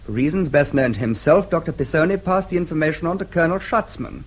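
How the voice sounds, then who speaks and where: An actor playing a composed, protective brother in a radio drama, clipped and polite but firm. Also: male voice, on the high side, fast talker, lightly acted. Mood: politely defiant. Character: crisp, protective brother, a composed gentleman standing his ground.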